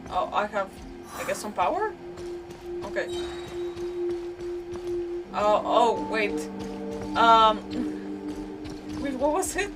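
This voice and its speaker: A woman doing casual live gameplay commentary as a streamer, thinking aloud with mild swearing. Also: fairly high pitch. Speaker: game streamer. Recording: distant mic, loud game music. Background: loud game music.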